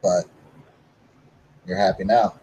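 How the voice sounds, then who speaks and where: Low, mellow-voiced man roleplaying. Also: steady tone, slow pace, husky, low-quality recording. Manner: laid-back, brief replies. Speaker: tabletop roleplaying player. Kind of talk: in-character roleplay dialogue.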